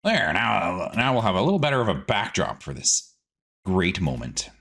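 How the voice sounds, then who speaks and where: A man's voice: low-pitched, very animated, moderate pace, vocal fry, fairly bright tone. A man hosting a casual gaming video, chatting to himself playfully as he plays, with silly words and sing-song asides. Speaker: gaming host. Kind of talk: casual game commentary, let's play.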